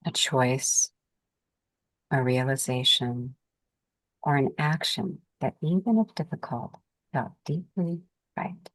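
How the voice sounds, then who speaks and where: A woman with a very deep voice guides a meditation. She speaks calmly and soothingly, offering gentle instructions in unhurried phrases with space between them. The sound is dry and close, with little room around it. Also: no room sound, low-quality recording.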